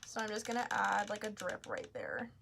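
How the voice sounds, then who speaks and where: A woman's voice: medium pitch, very animated, normal speed, gravelly, a bit bright, a little hiss or hum. A woman casually hosting a live craft tutorial, chatting informally as she explains each step. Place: craft store.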